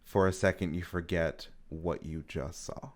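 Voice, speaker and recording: A man's voice: sits low, steady tone, unhurried. A man narrating an improvised tabletop game as game master, describing scenes to his players.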